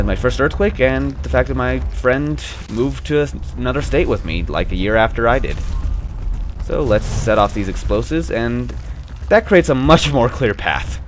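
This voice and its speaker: A young man with a low voice and lively swings in pitch, talking casually over a game he's playing. He rambles and grumbles wryly, and a cold leaves him a bit stuffy with a slight cough. Faint music sits under his voice. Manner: rambling, chatty, wry complaints about the game. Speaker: let's play host. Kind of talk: casual gameplay commentary.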